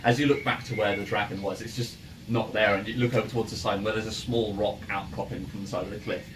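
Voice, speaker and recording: A man with a lowish voice runs a tabletop game, describing scenes casually as game master. He sits away from the mic, with clear room noise around him. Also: steady tone, fast, distant mic. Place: in-person game session in a room, ambient music playing.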